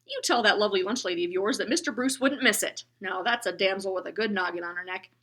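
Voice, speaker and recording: A woman voicing a big male bus driver who speaks in a loud, lofty, gallant manner, in a storybook reading. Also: medium pitch, fast talker. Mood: booming, lofty. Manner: bellowing, courtly and lofty speech.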